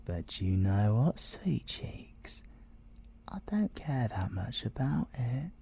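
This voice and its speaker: A British man voicing a possessive, gloating male siren in an ASMR audio roleplay, theatrical and teasing, with a breathy voice that slips into whispers. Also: medium pitch, big pitch swings, warm tone, full character voice. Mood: smug, teasing. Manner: theatrical, teasing, slow and deliberate, slipping into whispers.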